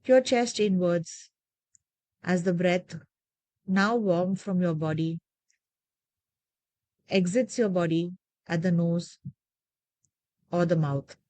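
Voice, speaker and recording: A woman leading a guided meditation with gentle, measured, soothing instructions and deliberate pauses. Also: lowish voice, fairly even intonation, no room sound.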